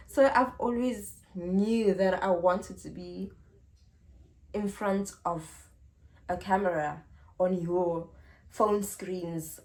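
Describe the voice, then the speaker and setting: Slow, giggly South African woman vlogger. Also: lowish voice. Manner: chatty and giggly, drawn-out pauses. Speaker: YouTuber. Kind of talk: casual Q&A vlog.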